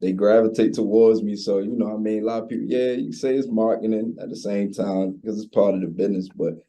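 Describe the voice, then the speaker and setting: A man's voice: lowish voice, steady tone, quick pace, mellow. A man in his twenties with a Baltimore accent, chatting casually as an interview guest in a slangy, laid-back way. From Baltimore, USA. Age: 20s. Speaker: interview guest, recording artist. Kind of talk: casual chat.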